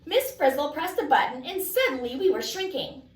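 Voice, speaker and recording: High-voiced woman reading a storybook aloud. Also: big pitch swings, normal speed. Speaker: storybook reader. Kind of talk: expressive read-aloud narration for children. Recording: echoey room, distant mic.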